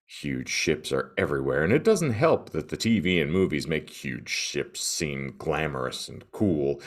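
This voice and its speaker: A man's voice: sits low, heavily acted. A man playing a worried middle-aged father of teenagers, reading in a heartfelt, mock-solemn way.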